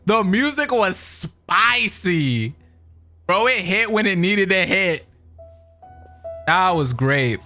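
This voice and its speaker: A young man with a bright, forward voice, chattering excitedly and casually as he reacts to a game. Faint game music runs underneath him. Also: high voice, takes it slowly, long pauses. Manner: excitable, slangy, talking over game audio. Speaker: gaming reaction host.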